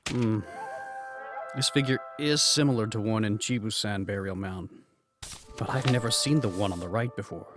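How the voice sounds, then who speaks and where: A man's voice: fairly low pitch, moderate pace, lightly acted. A man voicing an obsessive former archaeology professor in a fan manga dub, earnest and musing. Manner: earnest, musing inner monologue. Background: music.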